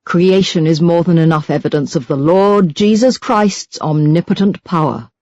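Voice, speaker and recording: A woman's voice: on the low side, normal speed, a little mellow, dry recording, highs cut off, lightly acted. Woman reading as a venomous religious zealot, close and dry. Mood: scornful, threatening. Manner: scornful, threatening, emphatic. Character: venomous, self-righteous religious hate-mail writer. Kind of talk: dramatised audiobook reading of letters.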